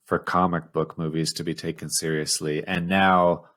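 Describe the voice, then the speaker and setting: Low-voiced man in casual podcast chat. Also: flat delivery, moderate pace, fairly bright tone. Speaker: podcast co-host.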